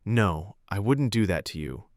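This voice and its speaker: A man voicing a casual, apologetic young love interest in a romance story, with a gentle way of talking. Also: on the low side, expressive, a little slow, no room sound, clean studio sound.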